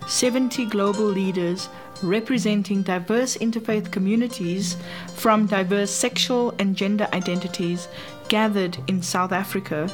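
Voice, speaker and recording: A woman's voice: medium pitch, steady tone, moderate pace. A woman reading scripted documentary narration. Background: music.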